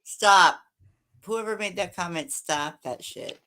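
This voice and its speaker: A woman's voice: lowish voice, takes it slowly, bright, forceful tone. A woman on a livestream panel, blunt and swearing freely in casual banter. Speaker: panel guest.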